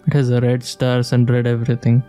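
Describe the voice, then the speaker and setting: A man's voice: mid-range voice, monotone, a little fast, warm tone, clean tone. A man giving casual playthrough commentary, musing aloud in short reactive remarks as he plays. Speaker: gamer playing and reacting. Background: video game music.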